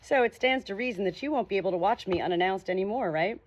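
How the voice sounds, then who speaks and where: A woman's voice: mid-range voice, fast, muffled recording, close to an everyday voice. Woman as guarded, defiant ghost girl Amelia. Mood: sweetly derisive. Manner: controlled, flat, thinly veiled scorn.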